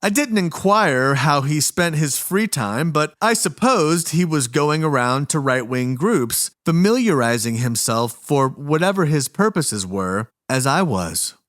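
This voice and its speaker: A man reading scripted documentary narration as a podcast host, in a measured, explanatory manner. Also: on the high side, animated, a bit bright.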